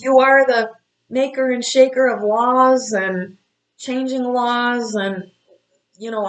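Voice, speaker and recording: A woman's voice: a little high, poor audio. A woman in her fifties, an astrology coach, giving blunt, scolding, motherly advice straight to her viewers. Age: 50s. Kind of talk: direct-to-camera advice talk.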